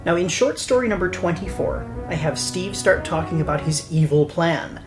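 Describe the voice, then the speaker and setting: Low-pitched woman narrating over music. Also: expressive, normal speed. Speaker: writer and filmmaker. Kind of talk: scripted behind-the-scenes narration. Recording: voice over clear background music.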